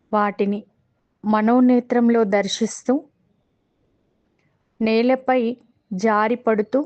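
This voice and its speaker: A woman with a Telugu accent guiding a meditation in a calm, measured way, pausing between short phrases. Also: medium pitch, highs cut off. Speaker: meditation guide. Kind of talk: guided meditation instruction.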